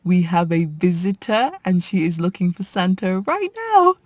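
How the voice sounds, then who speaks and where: A woman playing a blunt, sarcastic young workshop supervisor in a radio play, with a husky voice. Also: lowish voice, big pitch swings, normal speed, no echo. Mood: urgent, insistent.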